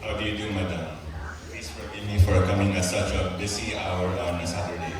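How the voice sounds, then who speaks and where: A young man acting a courteous, self-assured, gently teasing young Nigerian student in an amateur stage play. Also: low-pitched, steady tone, a little fast, crowd noise, lightly acted. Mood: polite. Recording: echoey hall from far off, music behind.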